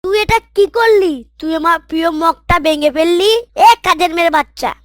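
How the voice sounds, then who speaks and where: A woman's voice: very high voice, moderate pace. A woman voicing a spoiled, haughty young boy in a moral cartoon, bossy and petulant in manner. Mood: angry. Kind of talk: Islamic moral cartoon voice acting.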